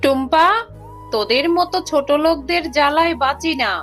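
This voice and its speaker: A woman voicing a haughty rich tigress mother in a cartoon, sharp, scolding and contemptuous. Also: high-pitched, normal speed, faint music behind, close to an everyday voice. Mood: angry contempt. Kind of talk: Bangla cartoon voice acting.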